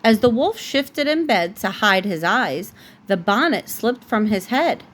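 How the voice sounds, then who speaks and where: A woman reading a children's bedtime story aloud like a parent, with expressive storytelling. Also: medium pitch, unhurried.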